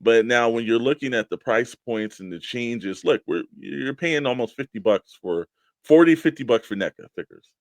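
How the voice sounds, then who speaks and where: A man's voice: medium pitch, moderate pace, dry recording. A man co-hosting a hobby show, talking casually and explaining his opinions at length. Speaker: co-host of a toy collecting show.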